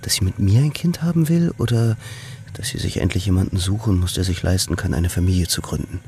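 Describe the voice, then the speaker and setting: A man's voice: low-pitched, a little fast, mellow. A man playing an anxious, calculating urban character in a radio drama, hesitant and brooding, sometimes blurting things out. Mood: unsure. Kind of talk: radio drama acting, dialogue and inner monologue.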